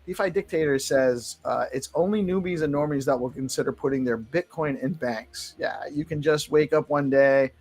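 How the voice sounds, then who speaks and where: A man's voice: fairly high pitch, moderate pace, hardly any pauses, a little mellow. A man hosting a casual morning talk show, chatting conversationally with guests. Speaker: host.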